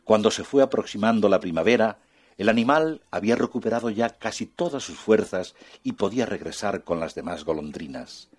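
A man narrating a children's fairy tale in an expressive, soft-spoken way. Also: on the low side, fairly quick, breathy edge. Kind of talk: children's storytelling.

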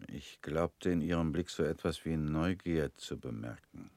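An actor in a radio play voicing a reclusive former political defendant, calm, quiet and guarded. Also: male voice, very deep voice, unhurried, creaky, dry recording, exaggerated voice.

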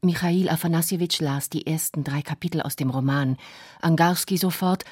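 A woman's voice: moderate pace, darkish tone, dry recording, studio quality. A woman playing a writer's wife reading her diary in a radio drama, restrained and intimate. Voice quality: husky, low.

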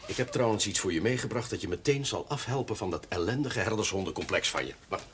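An actor playing a jovial parish priest with a teasing, familiar manner in a television drama. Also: male voice, on the low side, lively intonation, fast talker, a bit bright. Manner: jocular, familiar. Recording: noisy 1970s television soundtrack.

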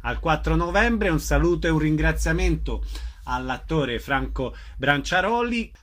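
A man's voice: on the high side, expressive, unhurried. A man hosting an arts interview on the radio.

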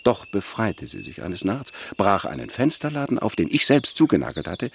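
An actor playing a smug, coldly respectable manservant in a radio play, speaking in a measured, formal, obsequious way with a gravelly voice. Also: male voice, fairly low pitch, wildly swooping pitch, slight background noise, exaggerated voice. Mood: coldly disdainful. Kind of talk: radio play acting in a literary adaptation.

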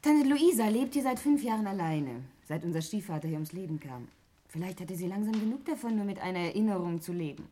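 An actress plays an inquisitive heiress in a 1960s radio murder mystery. Her mid-pitched voice is expressive as she insistently questions and objects. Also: a little fast, slight background noise, lightly acted. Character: questioning, quick-to-object stepdaughter of the murdered woman. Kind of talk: 1960s German radio play acting.

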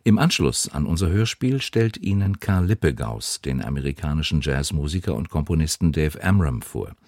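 A man's voice: very deep voice, very animated, normal speed, a little mellow, dry recording, studio quality. A man reading a scripted introduction to a radio play as a broadcast announcer. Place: dry broadcast studio.

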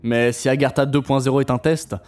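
A man's voice: medium pitch, steady tone, a little mellow, lightly acted. An actor playing a composed, courteous male game inventor answering a radio interview in formal phrasing, in an audio drama. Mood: composed, explanatory. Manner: polite, measured formal phrasing.

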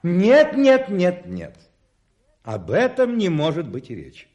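An actor playing a pompous, indignant hotel proprietor in a radio play, declaiming emphatically. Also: male voice, high voice, wildly swooping pitch, slow, a little mellow, full character voice. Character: pompous, indignant male hotel owner guarding his establishment's reputation.

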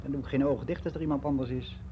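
A man's voice: mid-range voice, steady tone, fast, close to an everyday voice. Actor as lonely older man, noisy recording. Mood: confiding, troubled. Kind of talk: naturalistic radio drama monologue, confessional. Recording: very noisy radio play recording.

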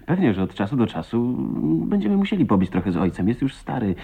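An actor playing an ardent, proud man in love with his wife, in impassioned, halting 1980s Polish radio drama style. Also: mid-range voice, very animated, mellow, husky. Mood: relieved, tender. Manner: impassioned, emotional, halting delivery. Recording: dull lo-fi radio play recording.

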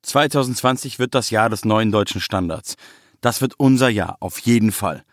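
A man's voice: lowish voice, moderate pace, dry recording, clean studio sound, close to an everyday voice. A man voicing a self-important, boastful nationalist rapper, speaking emphatically in a narrated story.

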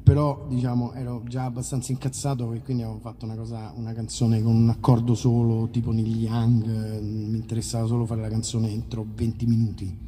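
A man's voice: medium pitch, dark tone, long reverb tail, slight background noise. Man chatting casually on stage. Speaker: musician performing a concert. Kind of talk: casual stage chat between songs. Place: live outdoor concert in a park.

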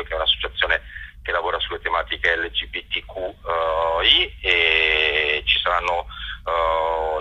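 A man with a low, husky voice is being interviewed as an event organizer. He has a very sharp, forceful edge to his tone and speaks haltingly, stretching words while he looks for the right phrase. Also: low-quality recording. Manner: halting, searching for words with drawn-out words. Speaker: festival organizer and interview guest. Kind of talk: spoken interview, presenting an event.

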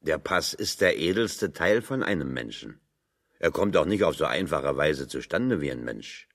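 A stocky metalworker in a radio play, very low in pitch with swinging intonation. He is gruff and blunt, delivering wry, sardonic observations in plain words. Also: expressive, normal speed, heavily acted. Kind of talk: German radio drama dialogue.

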